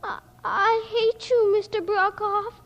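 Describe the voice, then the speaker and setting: Defiant, fibbing little girl, very high and blurting, old radio drama, muffled and hissy. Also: level tone, slow, a bit bright, full character voice. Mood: frightened. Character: seven-year-old girl, morbid, defiant, boastful, fibbing little sister. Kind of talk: 1940s radio acting. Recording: dull noisy old radio recording.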